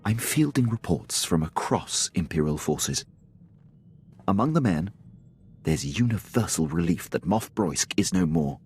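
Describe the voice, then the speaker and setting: Dutiful male officer, lowish voice, lively intonation, clipped formal delivery, sci-fi game. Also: a little slow, vocal fry, husky, heavily acted. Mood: formal, earnest. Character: dutiful, formal male Imperial military captain. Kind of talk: video game voice acting.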